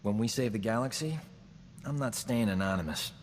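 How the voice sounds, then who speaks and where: A man's voice: lowish voice, normal speed, in a large hall, slight background noise, lightly acted. A man voicing a roguish, boastful male doctor who talks his way out of everything, in a video game. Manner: boastful, glib, self-satisfied. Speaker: companion character.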